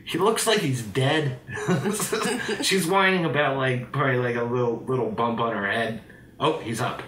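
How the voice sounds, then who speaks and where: A man hosting a casual let's play, joking in a crude, sarcastic, animated way as he plays. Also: medium pitch, a little slow, recorded from a distance, a little hiss or hum. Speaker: host playing a video game. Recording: roomy echo, game music behind. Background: video game music.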